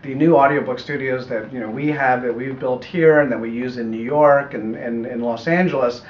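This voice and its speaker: A middle-aged American man explaining his audiobook work in a measured, thoughtful way during an interview. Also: mid-range voice, fairly even intonation. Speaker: audiobook producer being interviewed. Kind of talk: conversational interview answers. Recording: echoey room, muffled, faint noise.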